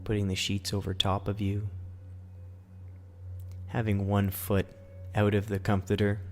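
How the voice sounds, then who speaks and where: A man leading a guided sleep meditation as host, in a soothing, gentle, instructional manner. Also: on the low side, monotone, measured pace, slight background noise.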